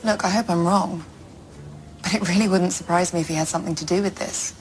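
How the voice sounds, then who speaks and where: A woman acts out a businesswoman in her fifties for an audio drama. She is blunt and exasperated, with a slightly low voice. There is clear background noise behind her. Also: fairly even intonation, fairly quick, lightly acted. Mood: blunt, concerned. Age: 50s. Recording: clearly noisy background ambience.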